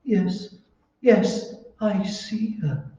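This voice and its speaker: Man acting a meek stammering husband, radio horror drama, mellow tone, muffled echoey distant recording. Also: high voice, expressive, extremely slow, a little hiss or hum, full character voice. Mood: meek, flustered. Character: timid, henpecked husband, meek and bewildered.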